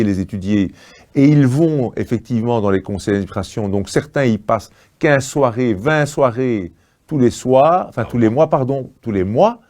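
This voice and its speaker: A man's voice: mid-range voice, darkish tone. A man speaks as a party spokesman giving political commentary, assertive while often searching for his words.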